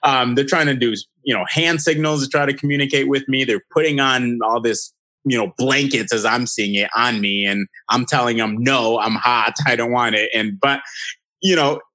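A man's voice: medium pitch, steady tone, moderate pace, pushed and bright, dry recording, poor audio. A man from Haiti whose first languages are French and Haitian Creole, telling stories chattily as a podcast guest, with many fillers. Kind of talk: casual interview storytelling.

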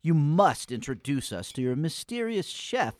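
A man playing a gloomy, pompous and exasperated schoolboy with a wordy, deliberate delivery in a comic radio play. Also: a little high, big pitch swings, darkish tone, no room sound, studio quality. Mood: formal, pleased.

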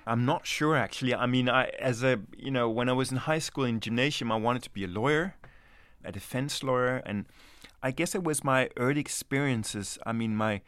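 A man's voice: mid-range voice, normal speed, a bit bright, smooth, no room sound. A man from Denmark, an anthropologist, answering interview questions in a reflective, hesitant way with many restarts.

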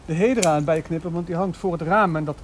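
Actor as higher-voiced, musing village priest. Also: male voice, fast, slight background noise, natural voice. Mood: friendly. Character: kindly, evasive, pious male village parish priest. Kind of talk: naturalistic audio drama acting.

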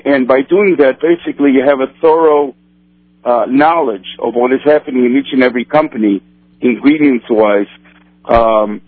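A man from Brazil whose first language is Portuguese, a kosher supervisor answering interview questions in a halting way with many restarts. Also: medium pitch, dry recording. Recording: tinny phone line, dry.

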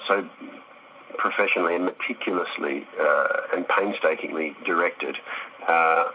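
A man's voice: deep voice, normal speed, bright, forceful tone, a little hiss or hum, low-quality recording. A middle-aged man answering interview questions casually, thoughtful and hesitant, with some self-deprecation. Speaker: actor, interview guest.

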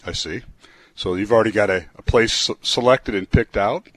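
A man with a gravelly, hoarse voice from a cold, chatting casually on the radio and reading the weather. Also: fairly low pitch, normal speed, a bit bright, dry recording. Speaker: news and weather reader.

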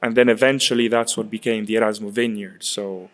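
A man in his twenties, raised in Chile, answering interview questions in a halting way, often repeating words. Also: fairly low pitch, fairly even intonation. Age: 20s. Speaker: guest, winemaker's son. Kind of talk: casual interview.

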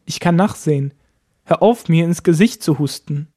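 A man teaching a language lesson, explaining each point slowly step by step. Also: a little high, warm tone. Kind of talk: lesson narration.

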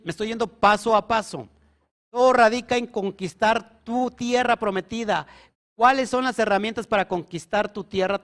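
A man's voice: high-pitched, a bit bright. A man teaching a sermon to a congregation, emphatic and often putting questions to his listeners. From Mexico. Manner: emphatic, asks the audience questions. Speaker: preacher.

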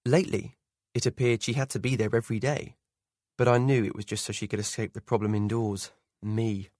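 A man narrating an audiobook in the first person, in a measured, subdued storytelling manner. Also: on the low side, fairly even intonation, a little mellow, dry recording, studio quality.

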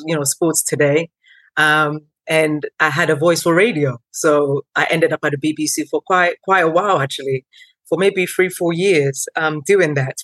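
A woman in her thirties, originally from Ghana and not a native English speaker, a guest telling her story in a lively way with many ums. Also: sits low, dry recording, low-quality recording. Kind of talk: conversational interview.

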